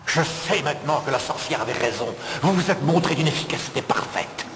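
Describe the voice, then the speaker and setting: A man's voice: fairly high pitch, expressive, fairly quick, close to an everyday voice. A man playing a grandiose, menacing, scheming cartoon villain who wants a throne, in French dub acting. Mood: smug triumph. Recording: loud music under the voice. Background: loud music.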